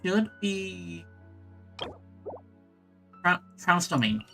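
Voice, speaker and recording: A woman's voice: fairly low pitch, big pitch swings, drawn-out words, vocal fry, bright, forceful tone. A woman giving casual let's play commentary as a gaming streamer, reacting and thinking aloud as she goes. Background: game music.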